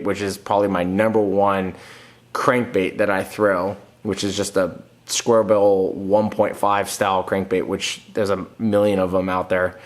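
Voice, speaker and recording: Guy in his 30s with a cold, kinda nasal, lowish voice, just chatting about fishing gear at home. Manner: plain-spoken, restarts often, reads comments aloud. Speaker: fishing channel host. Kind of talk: casual vlog answering viewer comments. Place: home room, indoors.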